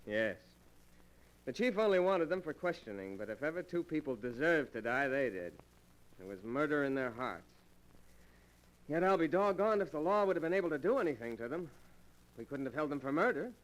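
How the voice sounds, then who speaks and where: A no-nonsense american cop lieutenant, slightly high voice, old time radio crime show. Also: male voice, sing-song, rough recording. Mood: grimly reflective. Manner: brisk, authoritative. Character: stern, plain-spoken male police lieutenant. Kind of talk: 1940s American radio drama acting.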